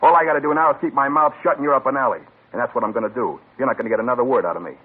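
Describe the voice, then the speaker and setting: A cagey, defiant ex-con, played by a man in an old radio crime drama, spitting out slangy tough-guy lines fast in a low, husky voice. The sound is thin and tinny, like an old broadcast over a phone line. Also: natural voice. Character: sullen male ex-con suspect, cagey and defiant.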